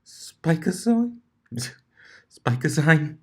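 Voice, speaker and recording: A genteel female unicorn with a taste for gossip and drama, as a man reads the part. The pitch sits above a man's usual talk, and the delivery is playful, coy and affected, in storybook style. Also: lively intonation, extremely slow, exaggerated voice. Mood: amused. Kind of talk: fan fiction reading with character voices.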